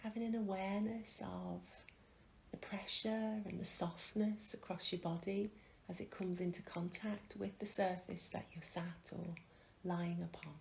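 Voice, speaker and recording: A woman leading a guided mindfulness meditation, speaking calmly and gently with long pauses and soft, breathy phrase endings. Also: on the low side. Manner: calm, gentle, unhurried with pauses. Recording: faint background noise.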